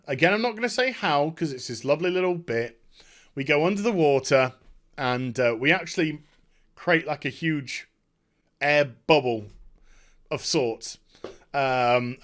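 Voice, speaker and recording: A man talking casually and enthusiastically as a gaming video creator, recapping a story in an emphatic, halting, rambling way. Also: fairly high pitch, a bit bright.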